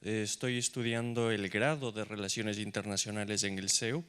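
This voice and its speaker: A man's voice: lowish voice, flat delivery, moderate pace, fairly bright tone, clean tone. A young man from Ecuador with an Ecuadorian Spanish accent, a student introducing himself and putting a question from the audience. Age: young adult. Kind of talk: prepared question after brief self-introduction. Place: event auditorium.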